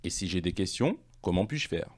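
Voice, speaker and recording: A man presenting an online language course, reading questions and answers in a measured, explanatory way. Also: sits low, lively intonation, darkish tone.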